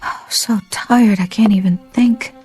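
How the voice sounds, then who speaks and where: An actress playing an exhausted, anxious club dancer in a radio drama, fretful and protesting. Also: female voice, mid-range voice, fairly even intonation, unhurried, darkish tone, faint music behind, close to an everyday voice. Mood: weary.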